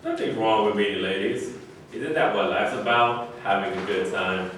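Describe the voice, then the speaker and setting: A man plays an easygoing pleasure-seeker in a stage play. His voice is on the low side. Heavy echo and faint noise surround it. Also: moderate pace, fairly bright tone, natural voice. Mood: teasing. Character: laid-back pleasure-seeking man, teasing. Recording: distant mic, very echoey, faint noise.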